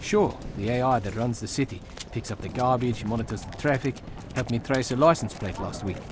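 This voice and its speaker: A man's voice: mid-range voice, a little fast, background noise, close to an everyday voice. An actor playing a good-natured young male cop in an audio drama, casual and sardonic, a reluctant hero. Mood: dry, teasing.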